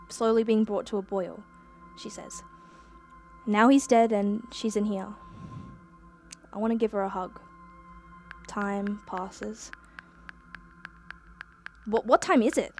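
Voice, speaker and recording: Actress as excitable young Australian time traveller, high expressive voice, breezy first-person radio play narration over music. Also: female voice, natural voice. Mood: hushed sympathy.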